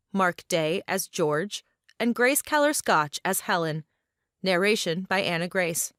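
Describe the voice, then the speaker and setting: A woman's voice: mid-range voice, fairly bright tone, no echo. Woman narrator, close and dry. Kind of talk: scripted narration of stage directions.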